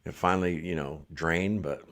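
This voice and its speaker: Deep-voiced man chatting on a livestream. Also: moderate pace. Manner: relaxed, chatty, laughs often. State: congested, blocked ear. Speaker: livestream host. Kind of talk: casual live chat reading comments.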